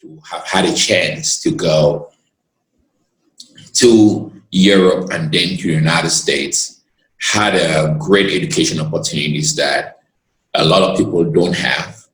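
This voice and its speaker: Deep-voiced man, echoey room. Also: a bit bright. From Cameroon. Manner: expansive and earnest, pauses to gather his words. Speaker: guest, lawyer. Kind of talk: reflective storytelling in conversation.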